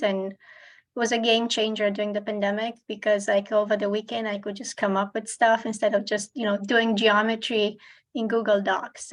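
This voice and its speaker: A woman giving a casual self-introduction on a group call in a chatty, informal way. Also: mid-range voice. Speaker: coding program participant.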